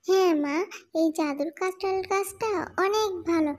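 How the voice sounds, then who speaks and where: A woman voicing a very high-pitched pleading little cartoon girl. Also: moderate pace. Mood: happy. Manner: childlike, pleading, earnest. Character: eager, pleading young girl of animal cartoon family. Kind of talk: children's cartoon story dubbing.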